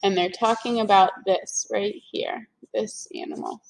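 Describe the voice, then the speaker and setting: A woman's voice: medium pitch, fairly even intonation, unhurried, gravelly, poor audio. A woman reading a children's story aloud in a teacherly way, explaining things to young listeners. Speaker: teacher-like read-aloud reader.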